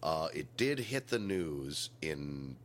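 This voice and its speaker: A man's voice: rumbling low, very animated, slow. A middle-aged man hosting a podcast in casual comedic chat, with wry mock-announcer asides, on a noisy recording. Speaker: podcast host.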